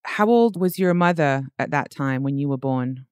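A woman's voice: very deep voice, animated, moderate pace, darkish tone, clear, resonant tone, no echo, clean studio sound. A woman interviewing a guest, asking attentive, probing questions. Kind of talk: conversational interview.

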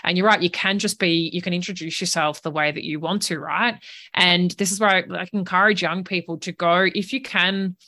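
A woman with a low voice speaks earnestly and encouragingly. She often checks in with "right?" as she chats casually. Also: fairly even intonation, moderate pace, pushed and bright. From small town in Australia or New Zealand. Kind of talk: casual conversation.

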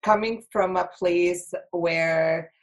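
A woman's voice: on the low side, fairly even intonation, slow, rough recording. A woman in her thirties from Egypt, a podcast guest talking chattily with lots of laughs and fillers. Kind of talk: casual interview chat.

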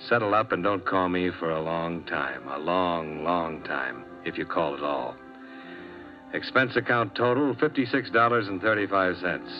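An actor playing a world-weary insurance investigator in a 1950s radio detective drama, narrating tersely and dryly. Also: male voice, sits low, normal speed, lightly acted. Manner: terse hard-boiled narration, dry and tired. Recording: dull old radio transcription with music.

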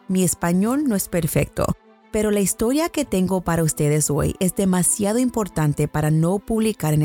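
A woman's voice: lowish voice, mellow. American woman hosting a true crime podcast. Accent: American English. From United States. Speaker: podcast host.

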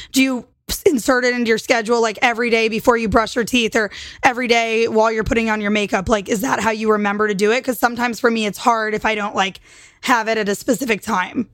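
A woman's voice: on the high side, a bit bright, no room sound. Upbeat woman host, dry close sound. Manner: upbeat and encouraging, swears casually. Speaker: podcast host. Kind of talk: casual interview chat.

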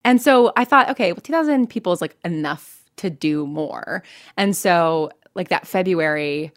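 A chatty American woman speaks as an interview guest in a slightly low voice. She tells stories casually and pokes fun at herself, recorded very dry. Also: animated, moderate pace, no room sound. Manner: chatty, self-deprecating, quotes own thoughts. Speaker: interview guest, podcast writer and producer.

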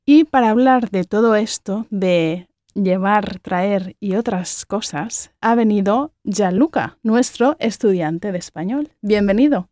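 A woman co-hosts a language podcast. She speaks at a middle pitch, friendly and reassuring, and she often murmurs agreement. The recording is dry and close. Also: a little slow, mellow, no echo. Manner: friendly, encouraging, with frequent affirming murmurs. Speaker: podcast co-host, language teacher. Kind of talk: casual podcast chat.

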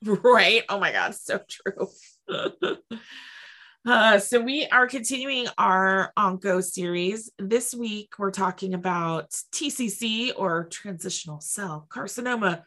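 Bright-voiced woman hosting a podcast. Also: medium pitch, slow pace. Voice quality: slightly stuffy nose from allergies. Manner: animated, acts out imagined quotes. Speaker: podcast co-host; veterinary technician. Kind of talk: casual chat.

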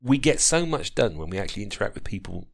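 A man's voice: on the low side, big pitch swings, fast, gravelly. A man co-organising an event, talking about it in a conversational promo style.

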